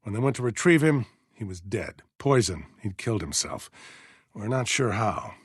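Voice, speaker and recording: An actor playing a stern, pious, authoritarian militia colonel in a video game, middle-aged and husky, giving measured orders with righteous solemnity. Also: male voice, fairly low pitch, lively intonation, no echo, studio quality. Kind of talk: dramatic video game voice acting.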